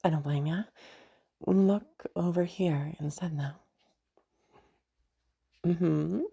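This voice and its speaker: A woman acting as a playful, caring party host in an intimate audio roleplay, soft-voiced and hesitant, rambling as she gently reassures the listener. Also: sits low, takes it slowly, creaky, slightly rough, full character voice. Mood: soft, reassuring.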